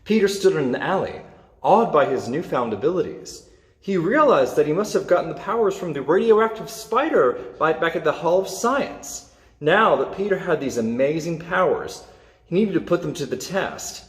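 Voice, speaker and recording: Expressive male story reader in echoey room. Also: a little high. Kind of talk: reading a children's superhero story aloud.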